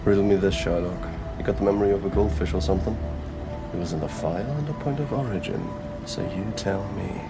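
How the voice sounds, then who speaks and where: A man playing a gravelly, cocky detective. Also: sits low, a little fast. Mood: brisk, teasing. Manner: sardonic, playful, theatrical banter. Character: cocky, teasing male detective, roguish charmer. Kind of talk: audio roleplay drama acting. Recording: music behind the voice.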